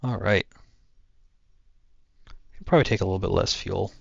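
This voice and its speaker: A young man streaming a flight game, chatting to his viewers in a low-key, monotone voice. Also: moderate pace, vocal fry, slightly rough, no room sound. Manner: low-key, talks to chat while playing. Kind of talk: casual gaming stream chat. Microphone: cheap headset mic. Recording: dull headset mic with game music.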